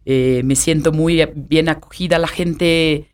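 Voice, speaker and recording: A woman in her forties from Brazil, speaking Spanish with a Brazilian Portuguese accent as a podcast guest, talking in a halting way with many fillers and restarts. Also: sits low, fairly even intonation, smooth. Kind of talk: conversational podcast interview.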